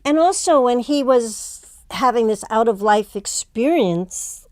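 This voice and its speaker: A woman's voice: fairly high pitch, lively intonation, a little slow, no room sound, studio quality. A woman hosting a casual discussion and putting questions to the panel. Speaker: discussion host.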